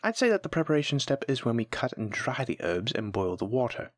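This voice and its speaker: A voice actor playing a kind, patient alchemy teacher in a fantasy audio roleplay, gently encouraging and instructing a new apprentice. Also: mid-range voice, big pitch swings, fairly quick, no echo, clean studio sound, lightly acted. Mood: encouraging, teaching. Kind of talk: soft-spoken scripted fantasy audio roleplay.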